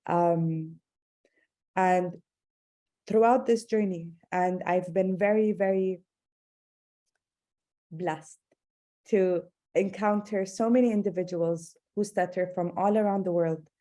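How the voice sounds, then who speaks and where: A woman giving an online talk as an advocate, speaking with a stutter and many pauses. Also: fairly low pitch, fairly even intonation, dark tone. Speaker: guest speaker, advocate for people who stutter. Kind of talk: webinar talk.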